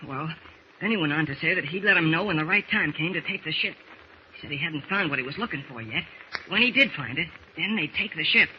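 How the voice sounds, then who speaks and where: An actor playing an earnest, anxious young lad in an old-time radio drama, speaking hesitantly. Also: male voice, on the high side, animated, a little fast, lightly acted. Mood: reluctant, confiding. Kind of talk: 1940s radio acting. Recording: dull, hissy old radio recording.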